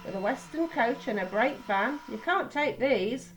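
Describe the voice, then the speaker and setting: A woman's voice: a little high, expressive, a little slow, soft background music, rough recording. Woman voicing an officious, suspicious railway foreman.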